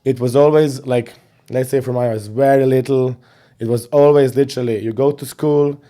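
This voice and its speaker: A young man from Serbia, a boxing coach, chatting casually as an interview guest in animated storytelling fashion. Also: medium pitch, moderate pace. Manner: animated storytelling with slang fillers.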